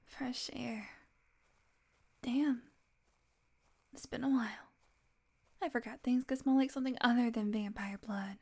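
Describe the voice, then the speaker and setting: Slow, weary, sardonic vampire woman, acted by a woman. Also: on the high side, steady tone, dark tone, full character voice. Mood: weary, wry. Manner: sardonic, halting, breathy asides. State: weak, tired. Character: weakened captive female vampire, wry, teasing, wounded. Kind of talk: audio roleplay acting addressed to listener.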